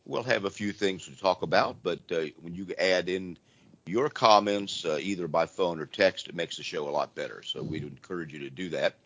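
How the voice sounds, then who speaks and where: A hoarse man with laryngitis hosts a live call-in radio show, talking in a casual, rambling way with frequent restarts. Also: deep voice, normal speed, fairly bright tone, no room sound. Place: church. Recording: dull lo-fi radio audio with faint noise.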